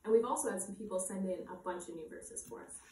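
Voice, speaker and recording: A woman's voice: medium pitch, fast, warm tone. A woman presenting children's songs, casually introducing them to viewers of a video. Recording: echoey room, distant mic, faint noise.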